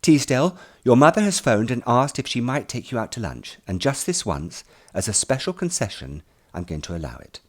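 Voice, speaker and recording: A man's voice: mid-range voice, sing-song, a little fast, voice pushed up. A man voicing a formal, authoritative male headmaster in an audiobook. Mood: stern, formal. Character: formal male headmaster granting a pupil a concession. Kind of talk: audiobook character voice.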